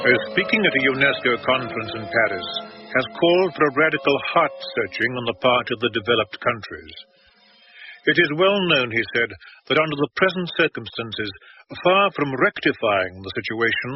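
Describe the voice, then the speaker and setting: A man's voice: mid-range voice, lively intonation, normal speed, soft background music, natural voice. An actor playing a formal, sober male radio newsreader delivering a scripted bulletin within a radio drama. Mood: formal, grave. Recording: dull, lo-fi old radio recording.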